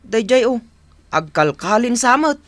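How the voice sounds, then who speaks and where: An actor playing a sheepish, apologetic younger village neighbour in a radio drama, with an Ilocano accent. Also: male voice, very high-pitched, big pitch swings, measured pace, a bit bright, no room sound, exaggerated voice. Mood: nervous, placating.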